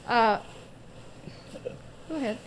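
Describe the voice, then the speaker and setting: A woman's voice: fairly high pitch, slow, rough recording. A woman hosting and interviewing guests on a radio health show. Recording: faint background noise.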